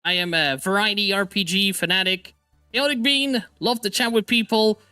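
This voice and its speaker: A man's voice: sits high, sharp tone, clear, resonant tone, no room sound, faint music behind. A man hosting a live gaming stream, goofy and upbeat, joking with his guest and explaining how to join a game. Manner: goofy, upbeat, fast-talking. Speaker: stream host. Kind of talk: casual gaming stream chat.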